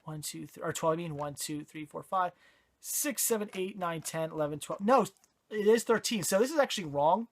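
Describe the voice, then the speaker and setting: Hoarse horror movie fan rambling through a casual review, lots of ums and do-overs. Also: medium pitch. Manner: rambling, self-correcting, many fillers. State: hoarse, voice giving out.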